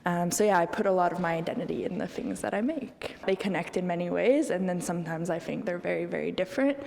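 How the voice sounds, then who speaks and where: A woman's voice: on the low side, fast, vocal fry, a little mellow, big-room sound. A young woman artist speaking casually and reflectively in an interview, often saying "like" and "kind of". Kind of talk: reflective interview talk about own art.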